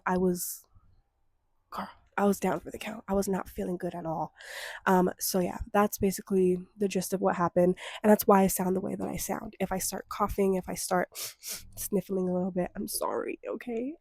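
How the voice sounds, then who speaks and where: A woman's voice: medium pitch, steady tone, moderate pace, darkish tone, no room sound, studio quality. A young woman hosting her own podcast, talking in a loose, rambling way with lots of ums and likes, her voice a bit stuffy from a cold with a lingering cough. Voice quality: slightly congested. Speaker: podcast host. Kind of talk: casual solo podcast chat.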